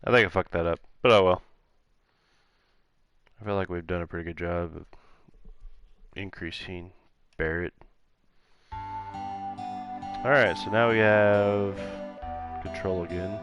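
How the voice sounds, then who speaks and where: A man with a deep voice talks through a video game in a casual let's play style. His remarks are wry and offhand, a little sarcastic, with game music playing clearly behind him. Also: steady tone, takes it slowly, long pauses, highs cut off. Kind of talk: casual gameplay commentary.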